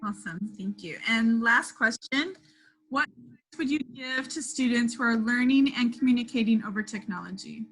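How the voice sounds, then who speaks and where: A woman teacher interviewing a guest, asking questions slowly with encouraging short replies. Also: a little high, a bit bright, poor audio. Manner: slow, encouraging, short affirmations.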